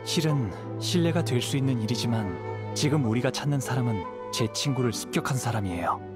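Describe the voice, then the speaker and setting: An actor playing a calm, polite young wandering samurai in a video game, speaking in a courteous, thoughtful way. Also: male voice, medium pitch, fairly quick, natural voice. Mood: composed, serious. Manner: courteous, composed, explanatory. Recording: clear background music.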